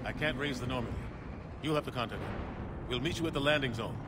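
An actor playing a stern middle-aged admiral in a video game, commanding and urgent in a clipped way. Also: male voice, fast talker, lightly acted. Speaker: military admiral. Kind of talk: dramatic video game acting. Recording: game audio under loud music. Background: loud score, vehicle rumble.

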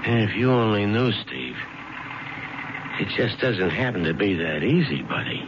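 An actor playing an awkward, self-deprecating working-class buddy with a hesitant, stammering manner in a 1970s radio drama. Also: male voice, lowish voice, sing-song, a little slow. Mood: uneasy. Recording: muffled, very noisy 1970s radio broadcast.

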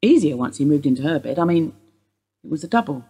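An actress playing an older woman being questioned by police, guarded and wry in a husky voice. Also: low-pitched, lively intonation, fast, dark tone, exaggerated voice. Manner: guarded, dry, wistful. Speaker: police interview suspect. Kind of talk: radio play acting.

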